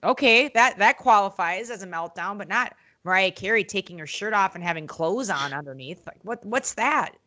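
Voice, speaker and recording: A woman guest on a podcast, telling stories about her wrestling days in an animated, outspoken way, full of fillers and acted-out quotes. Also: medium pitch, moderate pace, few pauses. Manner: animated storytelling, acts out quotes in other voices; frequent fillers and restarts, blunt and outspoken. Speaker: podcast guest, former wrestling interviewer and manager. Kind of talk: casual podcast interview.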